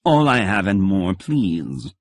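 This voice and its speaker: A man's voice: deep voice, big pitch swings, slow pace, no echo, clean studio sound, exaggerated voice. A man playing a panicked pleading nobleman. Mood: desperate pleading. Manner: desperate, begging.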